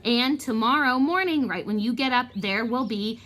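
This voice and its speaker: A woman schoolteacher speaking to children, with an expressive read-aloud style and casual, informal address. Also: fairly high pitch, normal speed. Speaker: fourth grade teacher.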